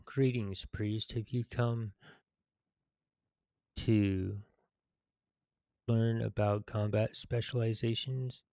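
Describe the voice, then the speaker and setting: A man in his thirties reading game quest text aloud in a deliberate, halting way. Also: on the low side, little pitch movement, dark tone, no echo, clean studio sound.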